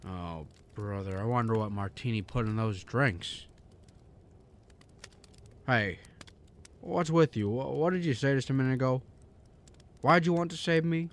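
A man's voice: mid-range voice, unhurried, long pauses, slight background noise, natural voice. A man playing a despairing, skeptical everyman in a radio drama, wry and stammering. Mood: bitter, sardonic. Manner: stammering, sardonic, incredulous.

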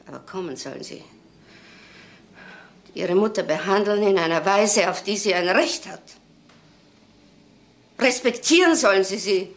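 A woman's voice: mid-range voice, expressive, a little slow, pauses often, slight background noise. An actress playing a wistful, stubborn old mother in a crime drama, rambling and repeating herself in short phrases. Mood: stubborn, bitter. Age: older.

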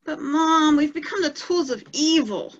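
A woman's voice: high-pitched, animated, unhurried. A woman playing an earnest, precocious young cartoon schoolgirl in an amateur table read on a video call. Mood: dismayed. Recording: muffled video-call audio.

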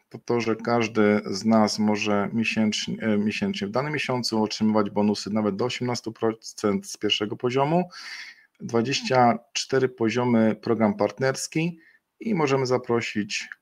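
A middle-aged man presenting a webinar over a webcam, explaining a program unscripted with frequent fillers and self-corrections. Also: mid-range voice.

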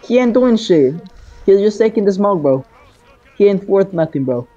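A man's voice: high voice, animated, moderate pace, dark tone. A man trash-talking in a gaming voice chat, taunting the other players and repeating slang constantly, over dull lo-fi chat audio. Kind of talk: casual trash talk with gamers.

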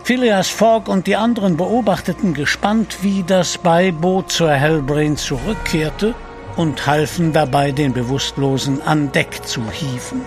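A man's voice: a little high, unhurried, music in the background. A man narrating an adventure audio drama from a script.

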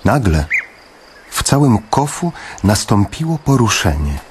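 A man narrating a literary radio drama in the first person, in a measured, somber manner. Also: fairly low pitch, very animated, a little mellow, slight background noise.

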